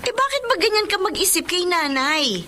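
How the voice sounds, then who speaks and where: A woman's voice: extremely high pitch, very animated, moderate pace, sharp tone, a little hiss or hum, heavily acted. A woman playing an earnest, dutiful younger daughter in a Filipino family drama. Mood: pleading. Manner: earnest, pleading. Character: gentle, dutiful younger daughter defending her mother. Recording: music under the voice.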